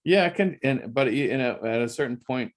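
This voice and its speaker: A man's voice: medium pitch, lively intonation, brisk. A man hosting an interview, speaking reflectively and repeating words as he searches for the right ones. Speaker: interview host. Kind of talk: casual interview chat.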